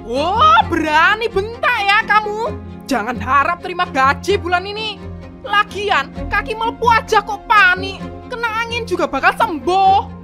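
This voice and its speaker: A woman's voice: expressive, measured pace, over music. A woman voicing a stingy, bossy middle-aged wife who runs a food stall and nags her staff. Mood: contemptuous. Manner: scolding, shrill and nagging. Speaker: voice actor. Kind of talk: cartoon drama voice acting.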